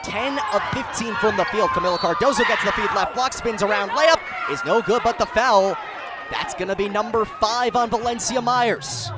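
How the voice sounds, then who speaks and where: Young american guy doing sports play-by-play, pretty high voice, broadcast announcer style. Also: normal speed, a loud crowd, heavy background noise. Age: 20s. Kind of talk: sports commentary and scripted sports update.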